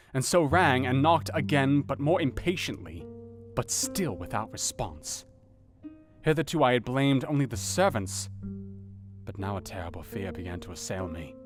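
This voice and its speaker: A man's voice: on the low side, lightly acted. An actor playing an earnest, anxious doctor, narrating his diary in a grave, brooding, theatrical manner for an audio drama. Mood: impatient frustration, then mounting dread. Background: plucked guitar music.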